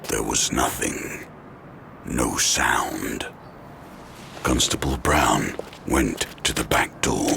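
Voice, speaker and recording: A man's voice: extremely low pitch, very slow, creaky, pauses often, slight background noise, exaggerated voice. A male narrator telling a suspenseful horror audio drama in a grave, dramatic, ominous manner. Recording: loud music under the narration.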